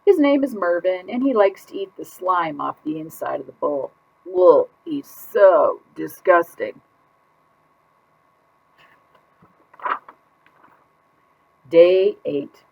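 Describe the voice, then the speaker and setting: A woman's voice: lowish voice, lively intonation, a little slow, creaky, darkish tone, exaggerated voice. A woman reading a children's picture book aloud as a put-upon goldfish keeping a diary, with exaggerated, exasperated emphasis. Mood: wary, grumbling. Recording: dull lo-fi sound.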